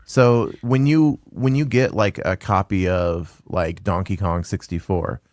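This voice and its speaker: Laid-back host, lots of dude. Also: male voice, low voice, measured pace, darkish tone, no room sound, clean studio sound. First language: English. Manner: laid-back, says dude and man a lot. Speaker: podcast host, game store owner. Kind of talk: casual interview chat.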